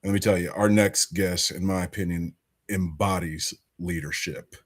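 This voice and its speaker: A man's voice: sits low, fairly even intonation, moderate pace. A man from Alabama hosting a political podcast, talking in an emphatic, conversational way. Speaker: podcast host.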